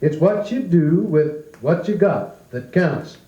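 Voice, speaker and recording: An actor playing a genial, folksy American livestock judge at a county fair in a 1940s radio drama, orating kindly. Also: male voice, fairly high pitch, lively intonation, slow pace, mellow. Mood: kindly, amused. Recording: echoey, dull 1940s record.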